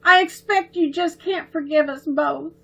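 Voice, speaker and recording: Storybook little girl, high, pleading, near tears, read by a woman, bright tone. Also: unhurried, gravelly, exaggerated voice.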